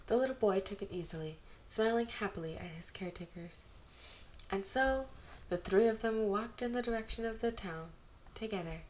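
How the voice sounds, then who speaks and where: A woman's voice: mid-range voice, normal speed, darkish tone, poor audio. A woman with an informal, gushing manner, reading fan fiction and chatting casually to listeners as an amateur narrator. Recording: amateur home recording with background noise. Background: background noise.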